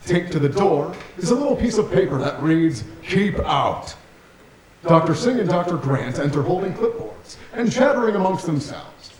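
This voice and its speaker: A man's voice: high-pitched, very animated, normal speed, over a noisy crowd. Male stage-directions reader, scripted narration, distant and reverberant, audience audible. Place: theatre stage. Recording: echoey theatre, distant mic, audience sounds.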